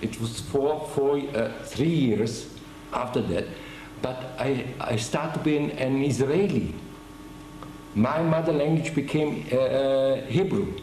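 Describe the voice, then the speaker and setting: An older man giving an oral history interview, speaking haltingly with many restarts and fillers. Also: mid-range voice, darkish tone, roomy sound, slight background noise, rough recording. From Germany. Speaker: veteran interviewee.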